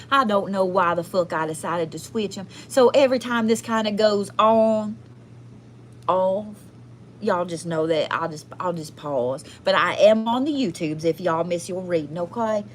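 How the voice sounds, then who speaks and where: A woman's voice: on the low side, a little hiss or hum, close to an everyday voice. A woman playing a brassy, foul-mouthed but kindhearted trailer park fortune teller with a Southern US accent, chatting folksily through a live card reading. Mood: exasperated, chatty. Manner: folksy, sweary, chatty, calls listeners honey and y'all. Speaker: livestream tarot reader. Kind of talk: casual comic live card reading in persona.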